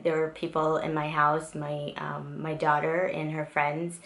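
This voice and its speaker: Low-voiced chatty woman vlogging at home. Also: level tone, measured pace, fairly bright tone. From South Korea, raised in the United States. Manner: rambling and chatty, many fillers and restarts. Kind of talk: casual get-to-know-me vlog. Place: home music studio and office, indoors. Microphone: camera in front of her.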